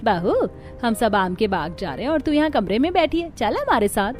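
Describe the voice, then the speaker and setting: Older hindi cartoon saas, bossy and pushy, slightly high voice, music bed. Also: female voice, big pitch swings, moderate pace, a little mellow. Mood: insistent. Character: bossy older mother-in-law, firm, thrifty. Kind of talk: Hindi cartoon story dialogue.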